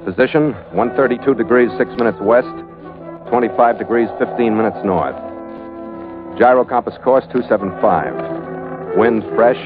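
A man's voice: mid-range voice, moderate pace, pauses often, lightly acted. Through a narrow, tinny old radio recording with music underneath comes a tough American ship's skipper , acted by a man. He narrates his log like a hard-boiled 1940s radio hero, in clipped, weathered phrases. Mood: clipped, businesslike. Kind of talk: 1940s radio drama acting, first-person narration.

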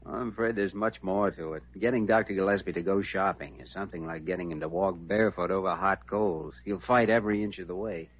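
An actor playing a genial, wry young doctor who talks smoothly and schemes, in old-time radio drama style. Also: male voice, deep voice, steady tone, a little fast, hardly any pauses. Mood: wry, amused. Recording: dull vintage radio recording.

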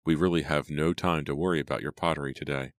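A man's voice: extremely low pitch, fairly even intonation, fast, no echo, clean studio sound. A man voicing an impatient, stubborn, stern father in a storybook, curt and commanding. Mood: impatient, sharp.

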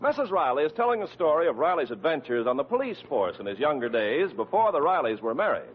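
A man's voice: on the high side, big pitch swings, fast talker, breathy edge. A man with an American accent announcing a commercial and show in 1940s radio style. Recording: muffled vintage radio with music.

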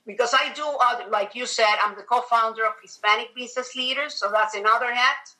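A woman's voice: fairly high pitch, fairly quick, sharp tone, low-quality recording. A middle-aged woman with a Venezuelan accent, a native Spanish speaker, chatting emphatically as an interview guest. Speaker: podcast guest. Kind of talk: conversational personal storytelling in an interview.

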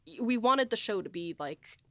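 She is a woman hosting an informal podcast about making a show. Her talk rambles and is dotted with "like". Her pitch sits in the ordinary middle range for a woman, and the sound is dry, with hardly any room to it. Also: animated, normal speed, no room sound. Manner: rambling, peppered with "like". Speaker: host and audio drama writer-producer. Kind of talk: casual behind-the-scenes podcast chat.

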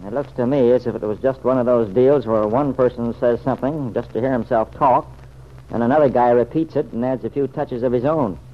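A man's voice: medium pitch, brisk, close to an everyday voice. An actor playing a plain-spoken, good-hearted American regular guy with a folksy, slangy manner in an old-time radio serial. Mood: doubtful. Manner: folksy, slangy, hesitant at times. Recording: dull, noisy vintage radio recording.

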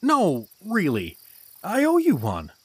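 An actor playing a good-natured, blundering adventurer in a fantasy audio drama, awkward, apologetic and expressive. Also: male voice, sits high, takes it slowly, slightly rough, exaggerated voice. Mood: upbeat, grateful.

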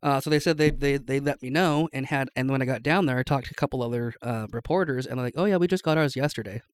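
A man in easy conversation, winding through anecdotes and correcting himself as he goes. His voice sits at an ordinary middle pitch. It is recorded close and dry, with no room sound. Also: quick pace, clean tone, no room sound. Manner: rambling, self-interrupting storyteller with restarts. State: recovering from lost voice. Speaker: podcast guest. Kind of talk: casual chat.

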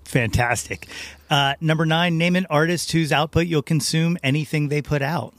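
A man's voice: fairly high pitch, moderate pace, a bit bright, no echo. A man hosting a podcast, putting questions to his guest in a casual interview style.

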